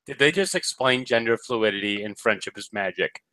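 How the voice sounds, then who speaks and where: A man's voice: on the low side, expressive, moderate pace, sharp tone, no echo. A man co-hosting a casual review chat, wry and blunt with jokes. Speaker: co-host.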